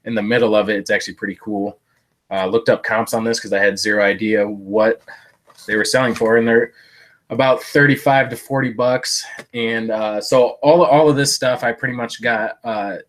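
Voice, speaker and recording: This is a man whose voice sits a little low and sounds stuffy and blocked up from a cold. He chats casually to a live-stream audience, meandering and padding his sentences with fillers and offhand self-mocking asides. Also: a bit bright. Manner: rambling, lots of "uh" fillers, self-deprecating jokes. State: stuffy, congested. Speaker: thrift reseller streaming a haul. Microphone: live-stream setup.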